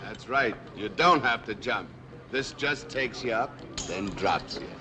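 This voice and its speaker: A man playing a commanding army sergeant in an old film comedy, giving clipped, deadpan orders. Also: on the low side, unhurried. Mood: matter-of-fact. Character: brisk, commanding male army drill sergeant. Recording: very noisy outdoor film soundtrack.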